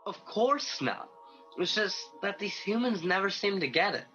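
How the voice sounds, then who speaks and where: A restless angel, acted by a man with a bright, slightly high voice. He questions everything with a discontented edge, as in a radio play, on a muffled video-call recording with music underneath. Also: very animated, moderate pace, exaggerated voice. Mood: frustrated, doubting. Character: restless young male angel, doubting and eager for action.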